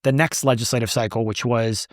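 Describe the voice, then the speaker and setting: A man's voice: medium pitch, steady tone, a little fast, dry recording, studio quality. A man answering interview questions as the founder of an advocacy group, speaking emphatically and repeating words as he builds a point.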